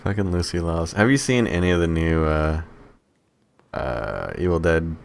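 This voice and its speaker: A man's voice: low voice, animated, normal speed, vocal fry. A man co-hosting a podcast, chatting casually about films in profane, slangy language. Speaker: podcast co-host.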